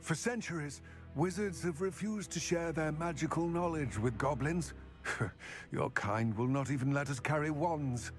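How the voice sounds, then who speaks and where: A man voices a proud goblin for a fantasy game. His voice sits slightly high for a man, and he speaks gravely in formal, measured sentences with some bitterness. Faint music plays underneath. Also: close to an everyday voice. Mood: bitter, resolute. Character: grave, proud male goblin, weary and mistrustful of wizards. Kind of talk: video game acting.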